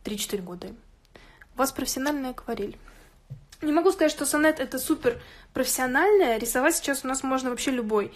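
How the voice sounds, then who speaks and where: A woman's voice: high voice, animated, quick pace. A woman hosting an informal drawing tutorial, chatting and thinking aloud as she works. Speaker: art tutorial host. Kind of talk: informal drawing tutorial, explaining while working. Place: indoors at a drawing table.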